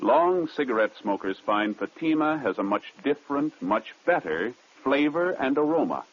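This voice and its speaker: A man with an American accent reading formal, measured scripted narration as a 1950s radio announcer. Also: medium pitch, very animated. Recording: dull old radio recording.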